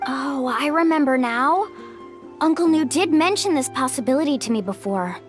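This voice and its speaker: A friendly, upbeat young guide. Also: female voice, high voice, expressive, moderate pace. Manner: friendly, encouraging. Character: upbeat young woman guide, leading the group. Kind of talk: video game voice acting. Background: game music.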